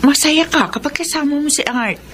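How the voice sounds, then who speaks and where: Prangkang ateng Pilipina, ginagampanan ng babae, medyo mataas ang boses. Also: female voice, high voice, brisk, close to an everyday voice. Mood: probing, concerned. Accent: Filipino. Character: frank, probing older sister. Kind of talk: Filipino radio romance drama. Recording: noisy ambience behind voice.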